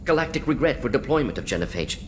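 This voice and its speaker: An actor playing a brisk alien scientist in a video game, speaking in clipped, telegraphic phrases, earnest and self-justifying. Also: male voice, big pitch swings, lightly acted. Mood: defensive, intense. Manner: clipped telegraphic phrases, dropping small words. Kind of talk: video game character dialogue. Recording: clear low rumbling noise.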